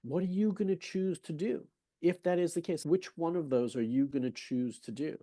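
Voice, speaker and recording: A man's voice: on the high side, normal speed, warm tone, dry recording, clean studio sound. A man speaking as a fertility specialist in a podcast interview, emphatic and persuasive, asking rhetorical questions and retelling talks with patients. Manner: conversational, retells patient exchanges.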